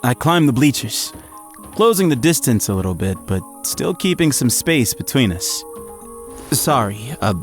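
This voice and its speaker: An actor playing an eager, frazzled young male athlete, narrating his own story in an audio drama with expressive, at times hesitant delivery. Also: mid-range voice, unhurried, faint music behind. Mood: curious, awkward. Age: young adult. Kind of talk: first-person audio drama narration and dialogue.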